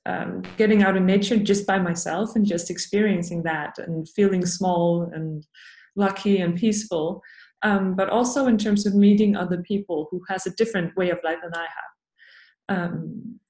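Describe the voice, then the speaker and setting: A woman from Denmark, a podcast guest, speaking thoughtfully with many pauses and fillers. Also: lowish voice, fairly even intonation, darkish tone, reverberant. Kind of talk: podcast interview.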